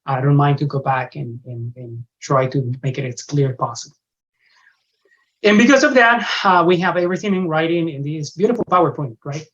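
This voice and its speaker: Brief: man, strong Mexican accent, earnest parish coordinator, slightly high voice, muffled call audio. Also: animated, brisk, fairly bright tone. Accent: Mexican Spanish. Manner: earnest, repeats himself, frequent uh fillers. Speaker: parish religious education coordinator. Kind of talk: parent orientation talk over video call. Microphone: video call microphone. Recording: dull lo-fi video call audio.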